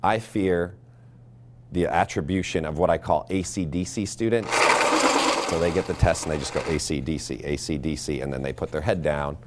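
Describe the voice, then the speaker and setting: A man's voice: rumbling low, normal speed, background noise. An American man, a schoolteacher, talking plainly in an interview. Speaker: seventh grade history teacher. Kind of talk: plain-spoken interview talk.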